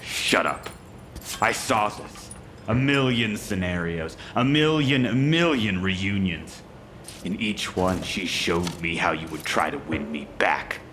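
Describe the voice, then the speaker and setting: Low, slow, unhinged villain. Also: male voice, a bit bright. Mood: bitter, threatening. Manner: halting, obsessive repetition of words. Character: menacing, possessed male villain, cruel and unhinged. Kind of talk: audio drama acting. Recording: clear background noise.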